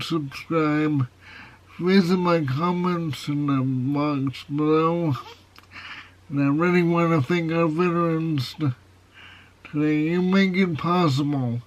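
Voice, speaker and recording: A man with a nasal, foghorn-like voice reviewing a movie in a casual video. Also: a little high. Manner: slow, measured delivery with plain opinions. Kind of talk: casual film review to camera. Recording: dull, lo-fi sound.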